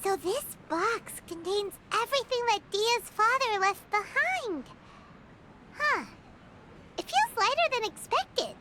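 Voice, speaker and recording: A tiny fairy companion, squeaks in a very high, bouncy voice that leaps up and down. She sounds endlessly curious and excitable, as in a game cutscene. A little noise sits under her voice. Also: takes it slowly, exaggerated voice. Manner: squeaky, wide-eyed exclamations. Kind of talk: game voice acting.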